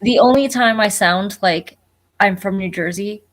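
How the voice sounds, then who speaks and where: A woman's voice: medium pitch, unhurried. A woman from Florida co-hosting a casual livestream chat over a video call, chatty and self-deprecating. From Florida, United States.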